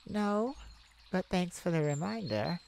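A woman playing a tenderhearted mage devoted to a werewolf partner in a romantic ASMR roleplay, soft, affectionate and creaky, with pauses. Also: lowish voice, lively intonation, slight background noise. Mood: cordial, diplomatic. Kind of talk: romantic ASMR roleplay, one-sided dialogue.

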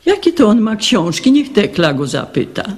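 Very husky, fussy old grandmother. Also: medium pitch, big pitch swings. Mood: stern, admonishing. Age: older. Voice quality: husky, raspy. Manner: slow, fussy, admonishing. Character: suspicious, moralizing old grandmother, scolding and nosy. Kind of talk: radio drama acting.

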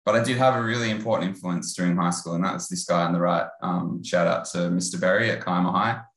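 A man in his thirties with an Australian accent, giving a relaxed online research talk over a video call. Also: deep voice, echoey room. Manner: relaxed, conversational, with filler pauses. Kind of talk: online lecture presentation. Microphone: earbud headset on video call.

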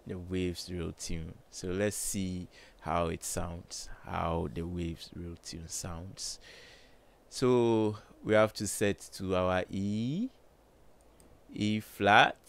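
A man with a low voice, slowly thinking aloud with many fillers while demonstrating music plugins in a home studio. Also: steady tone, creaky. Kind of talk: casual screen-recorded tutorial. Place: small home studio with colored lights.

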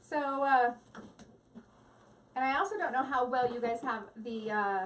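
A woman with a fairly high voice chats and giggles her way through a live craft demo at her home table. She is picked up from a little way off by the device mic, with a faint hum of room noise underneath. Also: a little slow, fairly bright tone, recorded from a distance. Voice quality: giggly, rambling, talks to viewers. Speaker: craft live-stream host. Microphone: device mic set back from the table.

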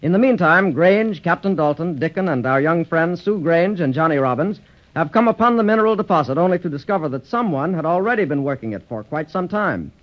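Slightly high-voiced male radio narrator, muffled. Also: lively intonation, fairly quick. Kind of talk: scripted 1930s radio serial narration. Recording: muffled vintage 1930s radio recording.

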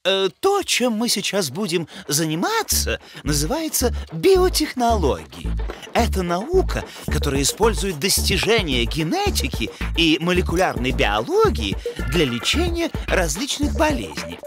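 A scholarly moose scientist from an educational cartoon, with a very animated, swooping delivery. He lectures pedantically and courteously, hesitating as he starts, with prominent music behind him. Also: high voice, a little slow, heavily acted. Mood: earnest lecturing.

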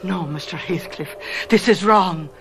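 A woman's voice: lowish voice, unhurried. A protective, disapproving servant woman in a radio drama. She sounds anxious and reproving, with music playing behind her.

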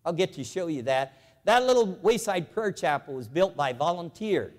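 A man in his seventies giving a lively, folksy, emphatic storytelling speech to a church convention, walking the floor with a lapel mic. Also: on the high side, normal speed, big-room sound. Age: 70s. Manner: animated, folksy, emphatic repetition. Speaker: convention speaker. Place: large convention hall.

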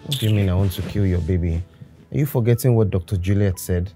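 A man's voice: low-pitched, quick pace, dark tone, breathy edge, faint music behind. A young man with a Nigerian accent playing a husband who argues defensively and pleads. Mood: pleading. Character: husband, defensive then soothing.

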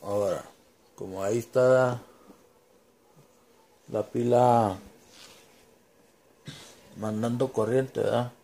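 A man with a Mexican Spanish accent giving a casual, unscripted demonstration, informal and halting as he searches for words and drops in English. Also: medium pitch, fairly even intonation, vocal fry, dark tone. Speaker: home vlogger demonstrating gear.

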